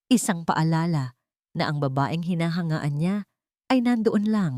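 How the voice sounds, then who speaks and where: Female narrator for scripted romance drama: lowish voice, very varied intonation, dry close sound. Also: darkish tone, no room sound. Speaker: story narrator.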